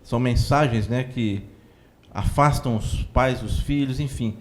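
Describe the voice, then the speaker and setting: A man with a Brazilian accent, a church spokesman giving long, steady explanatory answers in a TV interview. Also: mid-range voice, measured pace. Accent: Brazilian Portuguese.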